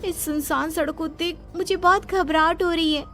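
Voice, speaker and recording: A woman's voice: very high-pitched, steady tone, normal speed, fairly bright tone, soft background music. A woman playing a frightened, anxious young office worker in a cartoon horror story, nervous and pleading. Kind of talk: animated Hindi horror story dubbing.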